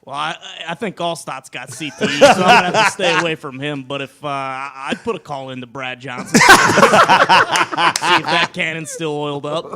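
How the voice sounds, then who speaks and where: A man putting on a raspy voice as a gruff male football coach in a comedic impression. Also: on the high side, very animated, moderate pace, a loud crowd. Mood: gruff joking. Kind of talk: comedic impression, casual chat.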